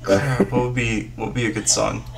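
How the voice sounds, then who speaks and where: A man's voice: lowish voice, flat delivery, normal speed, gravelly. A young man in casual chat on an online call, teasing and egging his friend on. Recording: very noisy online voice chat with clicks.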